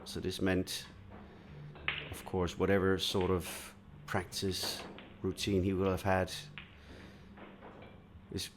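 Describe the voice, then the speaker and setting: A man from Denmark giving calm solo commentary on a pool match, explaining shots and answering viewer chat. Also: low voice, slow, long pauses, background noise. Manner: relaxed, explanatory, many "uh" fillers.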